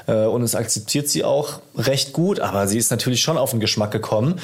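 A man's voice: medium pitch, fairly quick. A man in his thirties talking casually as a podcast host, explaining his thoughts in a reflective, conversational way. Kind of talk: casual chat between two dads.

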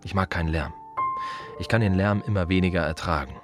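An actor playing an aging, weary gravedigger who loves silence, musing in short, repeated fragments in a literary radio play monologue. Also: male voice, deep voice, fairly quick, music in the background, close to an everyday voice. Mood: quiet, matter-of-fact.

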